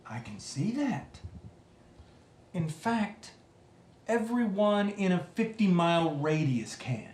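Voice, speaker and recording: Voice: medium pitch, sing-song, slow pace, long pauses, a little mellow, reverberant. An actor playing a witty, weary literature professor with arch, emphatic, theatrical diction in a web series. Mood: dryly sarcastic. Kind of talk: scripted web series acting.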